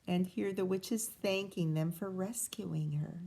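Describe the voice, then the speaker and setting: A woman teacher reading a picture book aloud to children, animated and pausing to chat and ask questions. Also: lowish voice, creaky. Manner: animated, asks the listener questions. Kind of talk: expressive read-aloud with asides to children.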